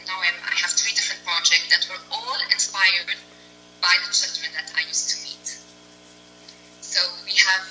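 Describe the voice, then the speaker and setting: A woman's voice: lowish voice, bright, forceful tone, low-quality recording. A middle-aged woman raised in Nigeria, a charity founder, talking earnestly as a guest in a casual interview. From Nigeria, born in Senegal.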